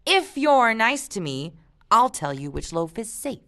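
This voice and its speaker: A woman's voice: on the high side, sing-song, measured pace, a bit bright, pitched above the performer's own voice, full character voice. A woman voices a twelve-year-old boy in an audiobook, the younger brother of the family. He is sardonic and understated, with a wry edge.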